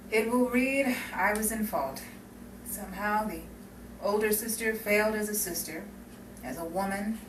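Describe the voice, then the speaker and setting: A woman's voice: medium pitch, lightly acted. A woman playing a sardonic, world-weary gangster's girlfriend in a 1950s crime melodrama. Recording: echoey room, distant mic, clear noise.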